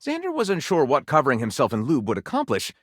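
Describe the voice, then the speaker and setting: A man's voice: medium pitch, huge pitch swings, fast talker, no echo, studio quality. A man playing a theatrical, tongue-in-cheek narrator of pulpy detective tales in a video game, arch and savoring every dramatic turn.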